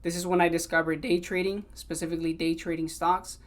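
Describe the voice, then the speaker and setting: A man's voice: a little high, flat delivery, fairly quick. A man in his twenties from California, also raised in Mexico, hosting a solo podcast episode in an earnest, conversational way and switching between English and Spanish. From Sacramento, California, also raised in Mexico. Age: 20s. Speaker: podcast host.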